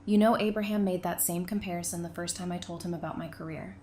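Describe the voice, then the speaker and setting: A woman's voice: lowish voice, fairly even intonation, quick pace, warm tone, a little hiss or hum, lightly acted. A woman playing a guarded, dry-witted therapist in an audio drama, blunt and challenging with a prisoner. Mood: dry, composed.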